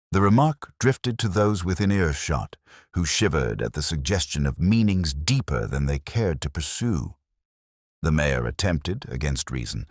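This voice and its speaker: A man reading a scripted mystery story in a measured, wry, literary way. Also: rumbling low, no room sound, clean studio sound. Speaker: narrator.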